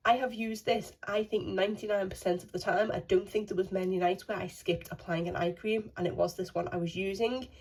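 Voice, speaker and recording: A woman beauty vlogger, croaky from a cold, chatting casually to a handheld phone. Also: mid-range voice, steady tone, brisk, few pauses, low-quality recording. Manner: apologetic, chatty, rambling. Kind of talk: casual chat to camera. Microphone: phone held in hand.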